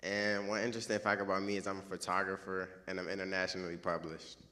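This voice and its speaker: A sixteen-year-old man from the Washington DC area introducing himself on a panel, relaxed and casual. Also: sits low, fast talker. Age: teens.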